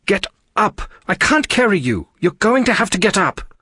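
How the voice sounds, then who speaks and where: A man's voice: mid-range voice, very animated, brisk, breathy edge, dry recording, pitched above the performer's own voice. A male narrator puts on a bold alien woman from a space adventure story, lifted well above his natural register. The delivery is urgent and commanding, with an exasperated edge, recorded very dry. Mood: frustrated, yelling.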